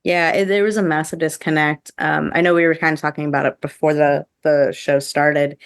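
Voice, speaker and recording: A woman co-hosting a podcast in a casual review chat, with halting, filler-heavy phrasing. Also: low voice, flat delivery, dry recording, studio quality. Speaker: podcast co-host.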